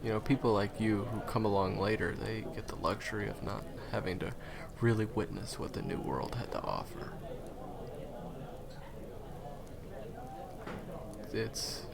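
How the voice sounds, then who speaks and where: Guy acting as humble soft-spoken ex-hunter guide, kinda hesitant, low gravelly voice, fantasy audio roleplay, crowd murmur behind. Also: moderate pace, hardly any pauses. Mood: reflective. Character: gentle, humble ex-hunter turned guide, slightly nervous man. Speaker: monster hunter's handler in a fan audio roleplay.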